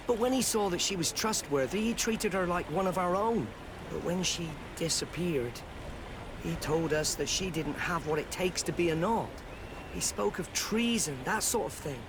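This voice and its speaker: A young cabin boy in a video game, fairly high in pitch. He is respectful and guarded, talking plainly over noisy harbour ambience. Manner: deferential, matter-of-fact. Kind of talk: video game dialogue acting.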